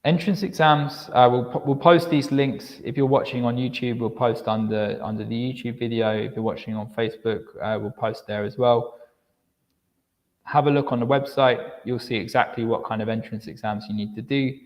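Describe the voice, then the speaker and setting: Man, mid-pitched voice, admissions presenter, explanatory, repeats words, long hall reverb. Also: steady tone, moderate pace. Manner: explanatory, repeats words while restarting, says okay often. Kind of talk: live online information presentation.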